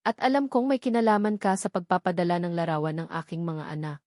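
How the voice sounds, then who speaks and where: A woman's voice: on the low side, steady tone, quick pace, mellow, dry recording, studio quality, close to an everyday voice. Woman voicing a firm, protective Filipino father. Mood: stern, demanding. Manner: firm, earnest. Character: devoted, protective rich young father, firm and authoritative.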